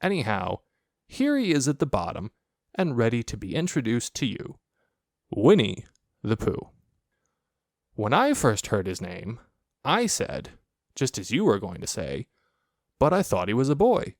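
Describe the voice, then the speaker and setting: Animated male children's storybook narrator, close dry sound. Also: mid-range voice, measured pace, pauses often, no room sound. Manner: expressive, playful storytelling. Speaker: audiobook reader.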